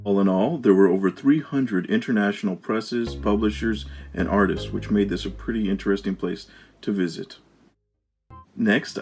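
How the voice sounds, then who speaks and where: A man's voice: fairly low pitch, darkish tone. A man narrating a travel vlog as its host, reading from a script.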